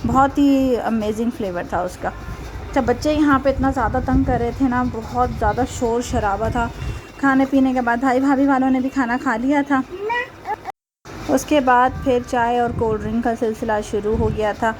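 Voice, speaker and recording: Chatty young Pakistani woman vlogger, Pakistani Urdu accent, higher voice, noisy home behind her. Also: steady tone, moderate pace. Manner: chatty, laughs often, many fillers. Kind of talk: casual vlog voice-over.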